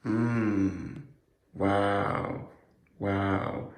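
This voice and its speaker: Gravelly-voiced male podcast host. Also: lowish voice, steady tone, very slow, reverberant. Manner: loose, hesitant questions full of fillers. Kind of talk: casual interview.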